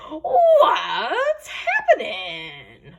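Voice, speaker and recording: A woman voicing an odd, cheerful, clumsy male penguin in a children's storybook, loud and hearty. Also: extremely high pitch, huge pitch swings, drawn-out words, lo-fi sound, pitched above the performer's own voice, full character voice. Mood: hearty, blaring. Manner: blaring and hearty. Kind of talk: children's storybook read-aloud.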